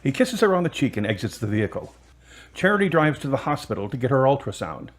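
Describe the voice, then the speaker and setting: A man's voice: mid-range voice, big pitch swings, fast. A man reading scripted scene narration for an audio drama.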